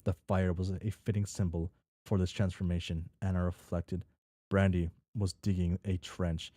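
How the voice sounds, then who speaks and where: A man with a low, soft-edged voice reads a story aloud in a casual way. He trips over words, repeats them and slips in his own sweary asides. The sound is dry, with very little room in it. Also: flat delivery, moderate pace, no echo. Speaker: reader reacting to a fan fiction. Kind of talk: casual read-aloud with off-the-cuff commentary.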